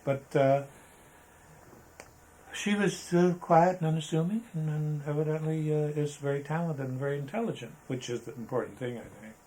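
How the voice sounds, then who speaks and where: An older American man reminiscing casually in an interview, halting and rambling as he talks. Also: a little high, mellow, poor audio. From Arizona, United States. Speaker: interviewee, veteran photographer. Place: indoors, photographer's studio.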